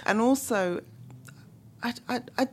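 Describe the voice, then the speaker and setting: A woman with a London accent, a guest musicologist talking in a casual, animated way with lots of fillers and laughs. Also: a little high, takes it slowly, breathy edge. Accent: London English. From London, England. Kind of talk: casual interview chat.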